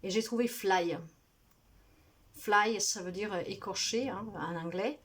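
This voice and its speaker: A woman spiritual healer speaking to her viewers in a soft, earnest, halting way, full of fillers, and sounding tired. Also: mid-range voice, husky. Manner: hesitant, halting, many fillers. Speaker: spiritual healer and card reader. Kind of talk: casual monologue to viewers.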